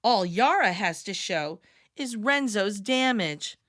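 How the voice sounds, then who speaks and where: A woman reading a picture book aloud to young children with expressive, deliberate delivery and dramatic emphasis. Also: medium pitch, no echo, studio quality. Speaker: children's read-aloud storyteller.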